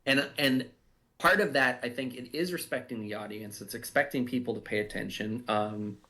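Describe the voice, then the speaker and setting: A man with a middling pitch talks through creative choices in a casual group chat. He is thoughtful and halting, often pausing to find the right word. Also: bright, forceful tone. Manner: thoughtful, halting, searching for words. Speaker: audio drama writer giving cast commentary. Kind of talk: casual chat, production commentary.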